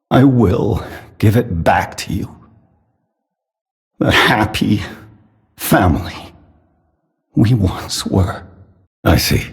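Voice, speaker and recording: An actor playing an old, fatherly puppet maker in a video game, tender yet menacingly obsessive, speaking in a theatrical, solemn, measured way. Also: low-pitched, darkish tone, full character voice. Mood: tender regret. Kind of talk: dramatic video game character acting. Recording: long cavernous reverb.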